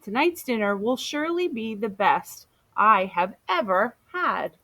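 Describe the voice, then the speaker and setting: Woman reading as a kindly, slow-spoken storybook lady. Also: fairly high pitch, big pitch swings, sharp tone, heavily acted. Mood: warm, welcoming.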